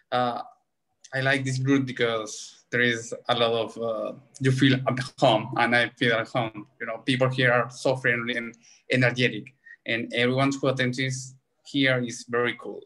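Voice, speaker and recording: A man's voice: medium pitch, little pitch movement, unhurried, fairly bright tone, low-quality recording. Man, friendly careful English. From Peru. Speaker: university coordinator. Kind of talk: casual chat. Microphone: video call.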